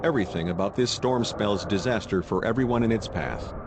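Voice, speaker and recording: Urgent low-voiced TV weatherman played by an actor. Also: brisk, background noise, lightly acted. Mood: alarmed. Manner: grave, urgent, alarmed. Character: urgent male TV weatherman during a deadly tornado. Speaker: TV meteorologist. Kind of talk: live emergency weather broadcast.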